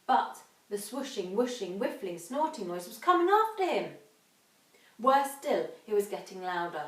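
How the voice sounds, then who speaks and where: Female teacher, mid-pitched, expressive storybook delivery, emphatic, echoey room, distant mic. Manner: dramatic, emphatic, drawn-out sound words. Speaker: infant school teacher. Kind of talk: expressive children's storybook reading and instruction.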